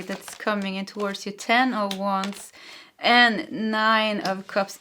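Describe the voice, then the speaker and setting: A woman's voice: medium pitch, pushed and bright. A woman from Sweden with a Swedish accent, a non-native English speaker, giving a casual pick-a-card tarot reading and often stopping to search for words. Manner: chatty and searching, with frequent fillers and self-corrections. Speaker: tarot reader.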